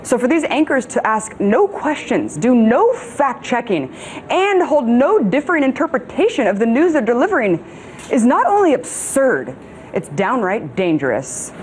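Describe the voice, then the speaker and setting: American woman doing sardonic news commentary, really animated delivery, slight background noise. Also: a little high, moderate pace. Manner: emphatic, sardonic. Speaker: news show host. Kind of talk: scripted opinion news commentary. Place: TV studio.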